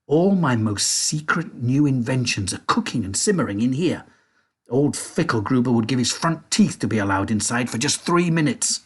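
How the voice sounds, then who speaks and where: A man voicing an excitable, eccentric male chocolate-factory owner who shouts bossy orders with glee. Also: medium pitch, moderate pace, slightly rough. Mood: proud.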